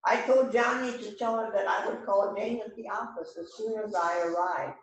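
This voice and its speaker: A woman's voice: medium pitch, normal speed, lightly acted. A woman reading the part of an eager visiting friend in an amateur mystery play rehearsal. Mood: helpful. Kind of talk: amateur readers theater rehearsal, script reading. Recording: very echoey room, distant mic, faint noise.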